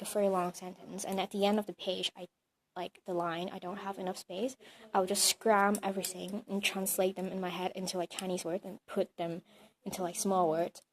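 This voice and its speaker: Young woman, chatty and hesitant. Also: medium pitch. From Hong Kong. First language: Cantonese. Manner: thinks aloud, many "like" and "um" fillers. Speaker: interviewee, bilingual student. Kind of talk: casual interview answers.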